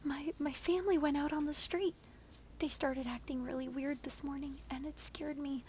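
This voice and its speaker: A woman voicing a frightened, frantic neighbor woman in a hushed, stammering horror-story performance. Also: sits high, fairly even intonation, normal speed, a little hiss or hum, pitched above the performer's own voice. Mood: shaken. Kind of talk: whispered horror story acting.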